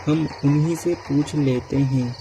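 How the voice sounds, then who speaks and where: A man playing a foolish, excitable young Brahmin student in a cartoon moral story, speaking in an earnest, theatrical way. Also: a little high, a little slow, a little hiss or hum, rough recording. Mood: polite. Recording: music laid under the voice.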